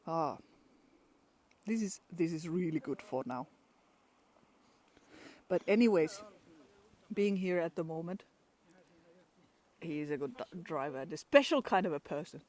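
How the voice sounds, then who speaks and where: A woman's voice: sits low, animated, a little slow, pauses often, darkish tone. A woman from Finland with a Finnish accent, chatting casually outdoors in a pine forest for a travel vlog and laughing often between restarts. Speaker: travel vlogger.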